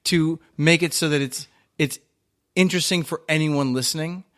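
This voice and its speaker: A man's voice: on the high side, moderate pace, fairly bright tone. A man co-hosting a podcast, giving earnest, self-deprecating opinions in casual chat. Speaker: podcast co-host.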